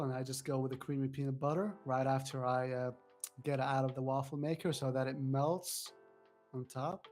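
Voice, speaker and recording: Male guest, strained voice with small cracks, chatty and giggly, soft music faintly behind. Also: mid-range voice. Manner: chatty, laughs often, repeats words. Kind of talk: casual chat on a live stream.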